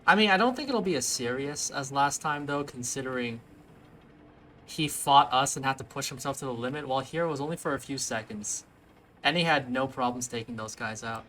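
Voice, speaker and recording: A man's voice: medium pitch, brisk, pushed and bright, a little hiss or hum. A young man giving casual let's play commentary on a video game. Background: faint game music.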